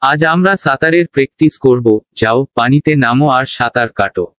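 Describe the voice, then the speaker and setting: A man's voice: mid-range voice, normal speed, fairly bright tone, no echo, close to an everyday voice. A man voicing a boastful, bumbling animal sports coach in a children's cartoon, with a bossy instructing manner.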